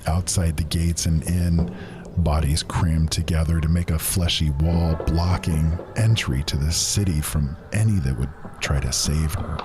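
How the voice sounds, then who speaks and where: An actor playing a haunted, grief-stricken man who solemnly and haltingly recounts fantasy horrors in archaic phrasing. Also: very deep voice, music in the background. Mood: horrified, dread. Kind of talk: dramatic first-person fantasy narration.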